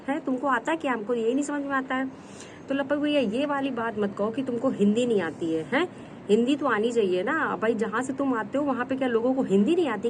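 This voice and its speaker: A woman's voice: a little high, a little mellow, a little hiss or hum. A woman giving a casual roast commentary on online drama, scolding and mocking her targets as if talking straight to them. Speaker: commentary vlogger.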